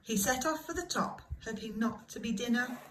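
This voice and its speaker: A woman reading a children's story aloud in an expressive, dramatic way, like a primary school teacher. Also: on the high side, normal speed, a little hiss or hum, low-quality recording. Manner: animated, dramatic emphasis.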